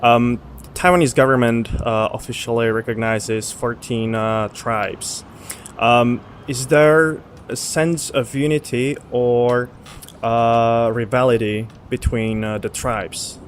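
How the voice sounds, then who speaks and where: A man interviewing a guest, asking questions with many pauses and fillers. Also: medium pitch, fairly even intonation, slight background noise. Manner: asks questions with many hesitations.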